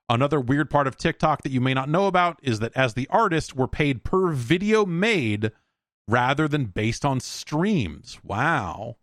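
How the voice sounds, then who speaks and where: An American man hosting a podcast alone in a casual, rambling, halting way, thinking aloud and speaking bluntly. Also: medium pitch, no room sound, studio quality. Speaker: podcast host. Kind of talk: casual solo podcast talk, reading listener emails.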